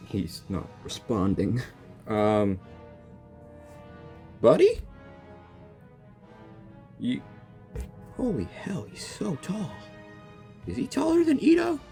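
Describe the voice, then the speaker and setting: A young man reacting to a video game for his channel, joking and excitable, talking back to the characters. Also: medium pitch, slow pace, creaky, long pauses, faint music behind. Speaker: gaming YouTuber. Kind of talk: casual game reaction commentary.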